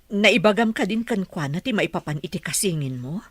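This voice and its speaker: A woman playing an anxious mother of grown sons, yearning for her child, with a husky voice in a Philippine radio drama. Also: on the low side, expressive, normal speed, no room sound. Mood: yearning, worried.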